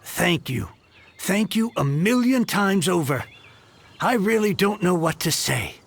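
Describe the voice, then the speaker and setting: A man's voice: sits high, animated, unhurried, gravelly, slight background noise, full character voice. A man voicing an anxious merchant who stammers and frets in a game. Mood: relieved. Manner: nervous, stammers, exclaims. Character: anxious middle-aged male merchant, frazzled and stammering. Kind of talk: game dialogue.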